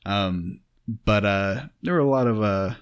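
A man's voice: lowish voice, unhurried, a little hiss or hum. A man hosting a casual podcast, teasing his guest and swearing freely. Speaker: podcast host.

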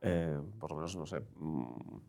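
A man's voice: a bass voice, measured pace, gravelly, dark tone. A man interviewing a writer, asking his questions tentatively with frequent tag questions.

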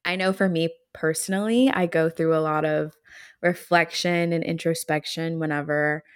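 Low-voiced woman in her twenties. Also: moderate pace, clean tone, dry recording, clean studio sound. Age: 20s. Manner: relaxed, reflective, laughs often. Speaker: podcast co-host. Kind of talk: casual chat.

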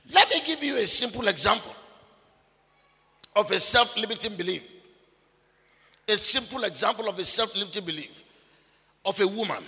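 A man preaching a sermon, emphatic and repetitive, at times breaking into shouts. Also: extremely high pitch, creaky. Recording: long reverb of a large hall.

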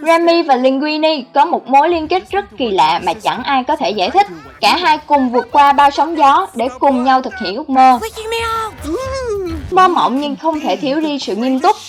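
A woman's voice: high voice, animated, normal speed. A woman reading scripted narration as the host of a countdown video. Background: background music.

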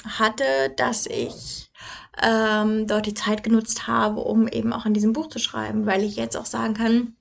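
A woman's voice: on the high side, monotone, measured pace, gravelly. A young woman hosting her own podcast, talking in a casual personal monologue, hesitant with many fillers and restarts. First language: German. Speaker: podcast host.

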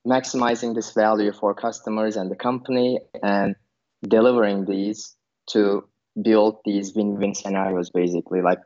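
A man's voice: fairly low pitch, little pitch movement, moderate pace, darkish tone. A man from Turkey answering interview questions about his work, thinking aloud with many fillers and restarts. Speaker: podcast guest, product manager. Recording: dull lo-fi sound.

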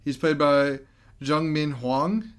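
A man's voice: fairly high pitch, steady tone, slow, clear, resonant tone. A man co-hosting a podcast, chatting casually about a movie, reading off credits and review scores with frequent laughs. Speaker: podcast co-host.

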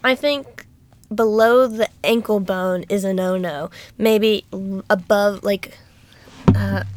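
A young woman from Alabama with a high-pitched voice, hosting a casual podcast and rambling with giggles. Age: young adult. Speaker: podcast host.

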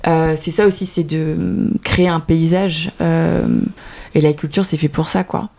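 Low gravelly-voiced woman. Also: steady tone, unhurried, warm tone. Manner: thoughtful, hesitant, many fillers. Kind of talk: casual conversation.

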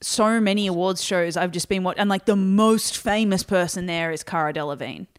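A woman's voice: fairly low pitch, fairly quick, clean tone. A young woman co-hosting a podcast, chatting casually in a sardonic, rambling way and swearing freely. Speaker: podcast co-host. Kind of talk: casual chat.

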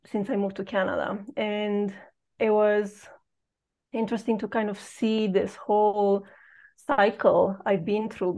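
A woman's voice: mid-range voice, mellow. Reflective woman guest from Romania. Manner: halting, many pauses and fillers. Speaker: podcast guest. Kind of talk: reflective personal storytelling.

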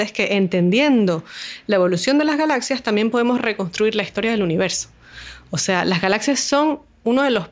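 Woman, Venezuelan Spanish accent, mid-range voice, patient explainer, interview guest. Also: mid-range voice. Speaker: astronomer, guest.

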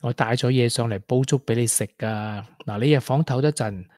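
A man's voice: mid-range voice, brisk, a little mellow, no room sound, close to an everyday voice. An actor with a Hong Kong Cantonese accent playing a caring, playful young man in a radio drama, with a coaxing, colloquial manner. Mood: worried, soothing. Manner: coaxing, colloquial, with English interjections. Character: caring, playful, persistent young man wooing a grieving colleague.

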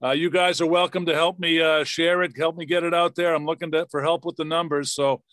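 Guy hosting a podcast, kinda higher voice, super dry sound, casual and thankful. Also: steady tone, brisk, fairly bright tone, no echo. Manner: appreciative, thanks guests repeatedly. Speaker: podcast host. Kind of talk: casual interview sign-off.

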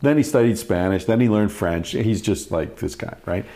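A man's voice: on the low side, expressive, moderate pace, mellow. A man hosting a podcast in casual chat, telling stories with jokes and swearing. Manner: joking, profane storyteller. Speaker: podcast host.

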